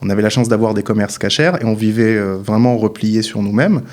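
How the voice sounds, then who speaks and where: A man in his thirties from France, a guest answering interview questions calmly and matter-of-factly. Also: on the low side, brisk. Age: 30s.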